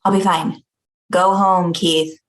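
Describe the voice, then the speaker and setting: A woman's voice: lowish voice, level tone, slow pace. A woman playing an exasperated but caring coworker in a comedy table read. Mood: firm.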